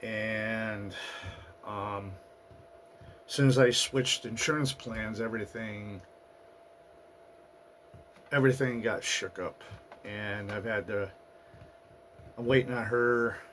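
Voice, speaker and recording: A man hosting his own channel, talking through his past year in a halting, personal monologue, his voice raspy and hoarse from a recent flu. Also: lowish voice, fairly even intonation, fairly bright tone, slight background noise. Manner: halting, with fillers and restarts. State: getting over a flu, hoarse. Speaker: channel host. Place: at home in the US Northeast.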